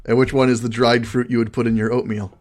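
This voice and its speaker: A man's voice: medium pitch, little pitch movement, fast. A man co-hosting a podcast, teasing his co-host dryly in casual chat.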